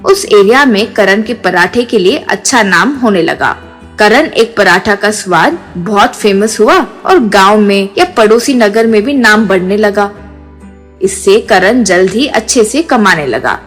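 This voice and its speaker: A woman's voice: mid-range voice, expressive, a little slow, a bit bright. A woman reading a scripted moral story as narrator, with music behind.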